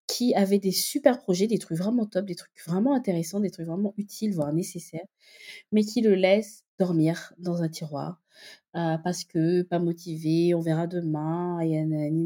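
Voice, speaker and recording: A woman being interviewed in a casual conversation, founder of a community for entrepreneur mothers, explaining her views and often pausing to find her words. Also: on the low side, fairly even intonation, warm tone. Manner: explanatory, thinks aloud with many hesitations.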